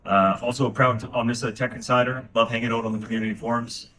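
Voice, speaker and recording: A man from Canada, a company president, introducing himself on a conference panel in an upbeat, brisk way. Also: medium pitch, little pitch movement, fairly bright tone, recorded from a distance, rough recording. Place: conference stage.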